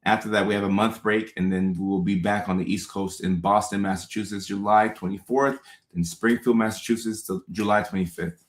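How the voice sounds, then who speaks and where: A man in his thirties, a comedian born in Ghana, hosting a casual livestream, upbeat and repeating his thanks and shout-outs to viewers. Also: fairly low pitch. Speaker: comedian and show host. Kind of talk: casual livestream chat reading out viewer comments. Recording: roomy echo.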